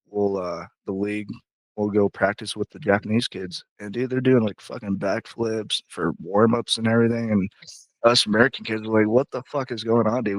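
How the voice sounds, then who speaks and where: A man's voice: on the low side, no echo, poor audio. A young man, a musician guest, chatting casually in an interview, telling rambling stories and swearing freely. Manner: laid-back, swears freely, rambling storyteller.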